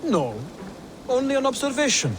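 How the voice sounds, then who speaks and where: An actor playing an earnest, courteous young male assassin acolyte in a video game, speaking formally and with measured solemnity. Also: very high voice, huge pitch swings, background noise, full character voice. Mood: measured, weary. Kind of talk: dramatic video game acting.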